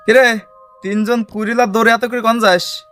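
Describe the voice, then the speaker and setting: A man's voice: very high voice, fairly even intonation, moderate pace, a bit bright, soft background music. A man playing a village elder brother, cautioning and scolding in rustic dialect. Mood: inquiring.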